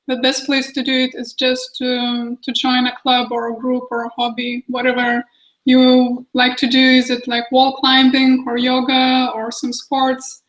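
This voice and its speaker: A woman's voice: high voice, completely flat delivery, a little slow, poor audio. A woman in her twenties talking casually as an international student on a university webinar panel. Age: 20s. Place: small office meeting room.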